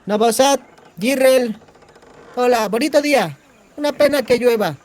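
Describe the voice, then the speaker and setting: Man doing a very high-pitched brash young woman. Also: a little slow, darkish tone. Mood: feigned friendliness. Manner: slangy, cheeky. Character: brash, foul-mouthed, streetwise young woman.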